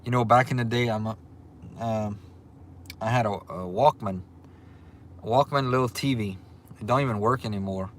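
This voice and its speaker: A man's voice: fairly low pitch, moderate pace, pauses often, slight background noise. Easygoing Louisiana man in his forties. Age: 40s. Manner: easygoing, repeats himself, frequent ums. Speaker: livestream host. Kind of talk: casual livestream chat reading viewer comments. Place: restaurant. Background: faint restaurant ambience.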